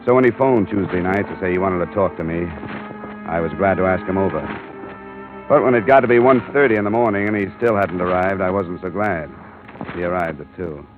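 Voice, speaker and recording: An actor playing a hard-boiled insurance investigator in a 1950s radio detective drama. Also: male voice, low-pitched, brisk, lightly acted. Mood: dry, narrating. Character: hard-boiled male freelance insurance investigator, easygoing with friends. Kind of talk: 1950s radio detective drama acting, first-person narration. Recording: muffled, band-limited vintage radio recording with music.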